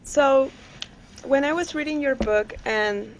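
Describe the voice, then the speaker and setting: Student asking a halting question. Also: female voice, high-pitched, a little hiss or hum, poor audio. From Peru. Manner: halting, searching for words.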